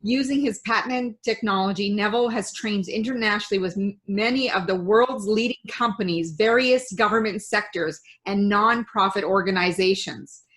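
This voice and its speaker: A woman's voice: medium pitch, normal speed, few pauses, fairly bright tone. A woman hosting an interview show, upbeat and enthusiastic as she reads her guest's introduction. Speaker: show host.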